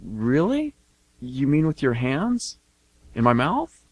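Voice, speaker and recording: A man's voice: mid-range voice, huge pitch swings, takes it slowly, a little mellow, no echo, exaggerated voice. A man playing a shy, awkward, stammering young man in an amateur radio comedy. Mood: awkward, pleased. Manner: awkward, stammering, eager to please. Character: shy, flustered young man in bird costume selling candy.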